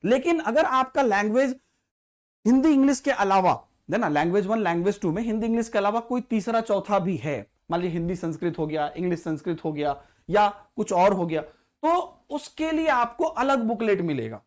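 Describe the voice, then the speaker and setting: A man's voice: high-pitched, expressive, normal speed. A man, teaching as an exam prep tutor who walks through an exam paper step by step and repeats key points to check understanding.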